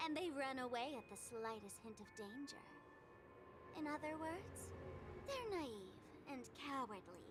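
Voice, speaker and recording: A theatrical masked trickster taunts in a high, bright register. Her delivery is mocking and playful, drawn out for show. Game music runs loud beneath her. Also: very animated, a little slow. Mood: scornful. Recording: game audio with music underneath.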